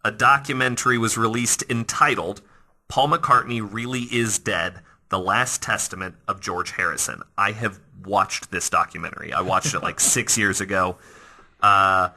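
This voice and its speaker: A man hosting a podcast, telling stories in a casual, animated, conversational way that often restarts mid-thought. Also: fairly low pitch, normal speed. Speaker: podcast host. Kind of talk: casual storytelling podcast talk.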